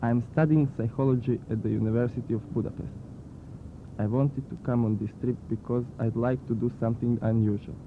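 A man's voice: medium pitch, steady tone, a little fast, natural voice. A man playing a young student. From Hungary. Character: young male psychology student. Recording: tinny tape with hiss.

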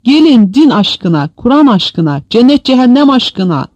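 A man's voice: very high voice, very animated, normal speed, dry recording, lo-fi sound, voice pushed up, full character voice. A man voicing an anguished, crazed male prisoner who wails and cries out desperately in a dramatized audiobook. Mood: desperate wailing. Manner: loud, wailing, desperate cries.

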